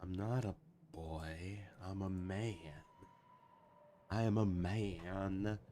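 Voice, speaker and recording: A man's voice: deep voice, drawn-out words, dark tone. A man, an animator and channel producer, chatting casually on a stream and reading comments, rambling with lots of fillers and restarts. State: recovering from a week of sickness.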